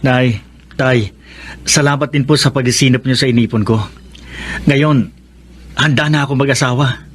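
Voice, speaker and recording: A man's voice: mid-range voice, steady tone, moderate pace, long pauses, lightly acted. A man playing a grateful, devoted grown son in a Filipino radio drama, speaking sincerely. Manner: earnest, sincere.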